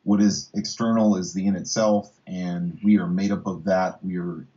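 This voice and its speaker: A man in his thirties talking casually as an interviewer, haltingly searching for his words. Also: low voice, steady tone, warm tone, lo-fi sound. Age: 30s. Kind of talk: casual philosophical conversation.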